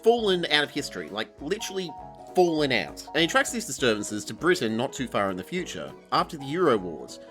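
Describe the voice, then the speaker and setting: A man's voice: medium pitch, huge pitch swings, a little fast, fairly bright tone, faint music behind. A man giving a casual, scripted review in a wry, conversational way. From Australia. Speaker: reviewer, host.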